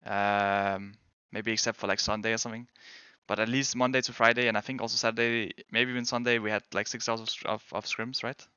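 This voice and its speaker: A young Danish man chatting casually with his stream viewers, hedging and correcting himself as he goes. His voice sits a little low but sounds bright and crisp. The dry mic is slightly dull. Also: level tone, fairly quick, hardly any pauses, no room sound. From Denmark. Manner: rambling, hedging, self-correcting. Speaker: game streamer and pro player. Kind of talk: casual chat answering viewers.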